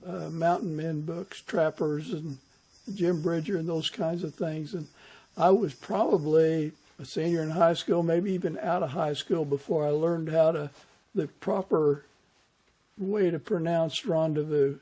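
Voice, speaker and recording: A man's voice: on the high side, steady tone, measured pace, warm tone, low-quality recording. A man hosting a podcast, asking questions and chatting in a conversational interview style.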